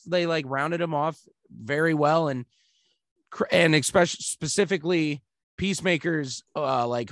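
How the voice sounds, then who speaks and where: A man's voice: fairly high pitch, gravelly, a bit bright, no echo, studio quality. A man co-hosting a podcast, chatting casually and thinking aloud while searching for words. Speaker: podcast co-host. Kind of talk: casual chat.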